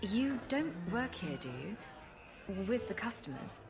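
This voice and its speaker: A woman's voice: medium pitch, big pitch swings, slow pace, creaky, a crowd in the background, heavily acted. An actress playing a sarcastic, commanding female rogue adventurer in a fantasy video game. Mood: wry, teasing. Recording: game audio with music behind.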